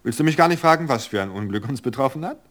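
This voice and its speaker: A man voicing a cheerful, flighty society woman in an audiobook, speaking brightly and breezily. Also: mid-range voice, animated, fast, voice pushed up, lightly acted. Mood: breezy cheerful. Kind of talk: audiobook character voice.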